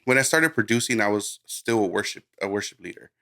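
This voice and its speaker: A man from Guatemala who grew up in the United States, giving a casual interview as a music producer, telling his story informally and haltingly. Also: on the low side, expressive, pushed and bright. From born in Guatemala, raised in the United States. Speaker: music producer, podcast guest.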